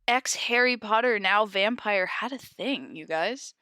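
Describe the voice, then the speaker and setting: A woman's voice: mid-range voice, measured pace, bright, forceful tone, no room sound, clean studio sound. A woman co-hosting a comedy podcast, giggly and exclamatory, moving between casual chat and mock-dramatic story reading. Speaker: podcast co-host.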